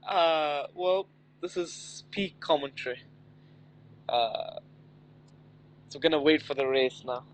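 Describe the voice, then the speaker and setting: A man's voice: fairly high pitch, slow pace, pauses often, low-quality recording. A man co-hosting a racing live stream in casual chat, easygoing and teasing, laughing often between remarks. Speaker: race stream co-host.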